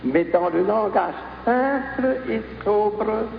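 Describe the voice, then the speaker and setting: A man's voice: high voice, takes it slowly, vocal fry. A man delivering a formal public lecture. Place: festival lecture venue. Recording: echoey hall, phone-like noisy archive recording.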